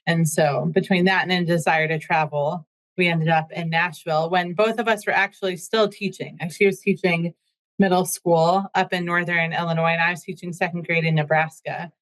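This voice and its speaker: A woman, a nun and former teacher, explaining things calmly and plainly in an interview. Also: fairly low pitch, normal speed, low-quality recording. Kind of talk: casual interview chat.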